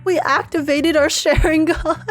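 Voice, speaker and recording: A woman giving casual live reaction commentary on a game, giggly and rambling as she thinks out loud. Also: high voice, fairly even intonation, measured pace, faint music behind. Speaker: streamer reacting to a game story.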